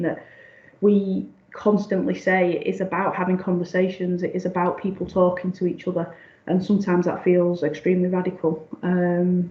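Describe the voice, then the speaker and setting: Croaky woman giving a talk, bit lower voice, sounds muffled and lo-fi. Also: darkish tone. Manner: halting, many ums and restarts. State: croaky, clearing her throat. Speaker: union staff side lead presenting a seminar. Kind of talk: seminar presentation over slides. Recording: echoey, dull lo-fi sound.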